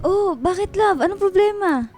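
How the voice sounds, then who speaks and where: High-voiced, mellow young wife. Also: female voice, lively intonation, moderate pace, no echo. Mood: sweet, affectionate. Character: devoted housewife in her thirties, sweet but suspicious. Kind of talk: radio drama acting. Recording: music bed behind the voice.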